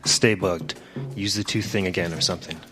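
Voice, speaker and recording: An actor playing a cautious, experienced, businesslike spy handler in a sci-fi noir audio drama, giving terse instructions. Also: male voice, low-pitched, steady tone, normal speed, natural voice. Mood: cautioning. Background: music.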